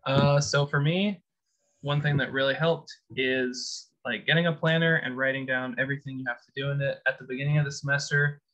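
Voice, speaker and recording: Young male student from Colorado, relaxed chatty panelist, mid-pitched, dull video-call sound. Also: brisk. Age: young adult. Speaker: orientation leader. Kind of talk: casual panel introduction and advice.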